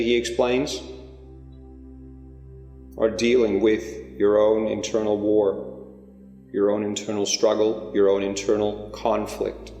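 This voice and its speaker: A man leading a spiritual guided meditation, speaking in slow, deliberate phrases with long pauses. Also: medium pitch, darkish tone. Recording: echoey hall-like reverb under music. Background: music.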